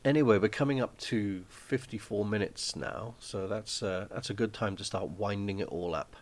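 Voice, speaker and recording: Man, low-pitched, rambling live-stream chat, frequent ums and restarts, home setting. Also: moderate pace. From Wales. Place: small flat at home.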